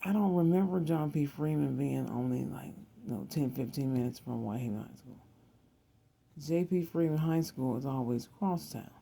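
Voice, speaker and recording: An American speaker in their thirties with a very dark, mellow tone and plenty of gravelly fry. The delivery is a casual, wandering monologue to viewers, full of repeated phrases and side trips. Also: mid-range voice, moderate pace. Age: 30s. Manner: repeats words and phrases, digressive. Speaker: tarot and psychic reading video host.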